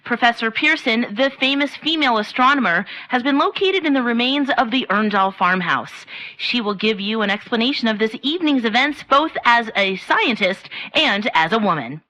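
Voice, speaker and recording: Woman acting a bright, earnest radio reporter. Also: fairly high pitch, expressive, normal speed, low-quality recording. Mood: earnest, reporting. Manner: formal, solemnly descriptive. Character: earnest female radio field reporter, breathlessly detailed. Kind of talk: comedic 1930s radio news acting.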